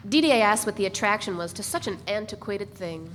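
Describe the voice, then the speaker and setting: Lady reading novel narration out loud at a live event, echoey hall, bit noisy. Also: medium pitch, expressive, a little fast. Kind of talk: scripted first-person literary narration, read aloud live.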